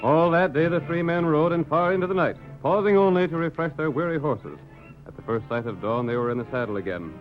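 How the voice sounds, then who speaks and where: Male announcer, dramatic old-time radio narration, fairly high voice, band-limited vintage recording with music. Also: animated, a little fast, highs cut off.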